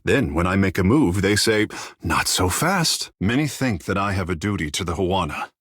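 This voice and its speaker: Husky, theatrical young shark-folk charmer. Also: male voice, low voice, expressive, normal speed, a bit bright, dry recording, studio quality, heavily acted. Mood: wistful, brooding. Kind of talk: video game voice acting.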